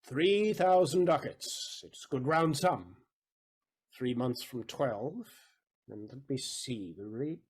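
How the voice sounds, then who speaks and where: An actor playing an aggrieved, wily moneylender in a Shakespearean audio drama, deliberate, rhetorical and sardonic in manner. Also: male voice, medium pitch, animated, darkish tone, slightly rough. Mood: calculating.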